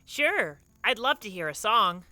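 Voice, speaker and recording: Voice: mid-range voice, very animated, measured pace, pushed and bright, no room sound, exaggerated voice. An actor playing a timid, bumbling male camp counselor in a light-hearted audio drama, stammering and hesitant. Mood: gentle, cheerful.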